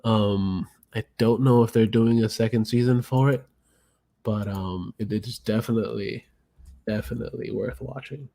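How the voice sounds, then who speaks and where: A man with a fairly low voice hosting a podcast. He talks loosely, full of "um" and "like", and swears freely as he recommends things. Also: fairly even intonation, normal speed, vocal fry, mellow. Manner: loose, profane, filler-heavy. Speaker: podcast host. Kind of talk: casual podcast chat.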